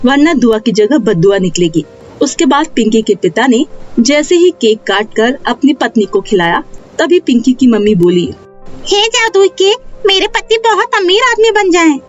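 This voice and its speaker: Lady narrating a kids story, high voice, soft music behind, slightly muffled. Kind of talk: scripted children's story narration.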